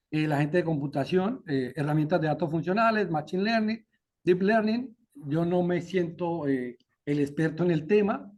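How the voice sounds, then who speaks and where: A man with a Colombian accent gives an academic talk, his voice sitting a bit higher than most men's. He talks loosely, leaning on fillers and questioning tags as he explains each step. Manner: relaxed, frequent "¿no?" tags and "eh" fillers. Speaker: presenting researcher.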